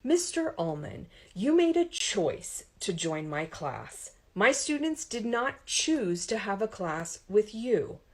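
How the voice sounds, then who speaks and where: A woman voicing a firm, quick-tempered but kind college professor in an audiobook, speaking with articulate authority. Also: medium pitch, big pitch swings, pitched above the performer's own voice. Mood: stern, exasperated.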